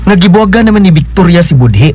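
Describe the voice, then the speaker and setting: A man's voice: high-pitched, animated, fast talker, background noise. A stammering nervous young man. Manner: stammering, hesitant.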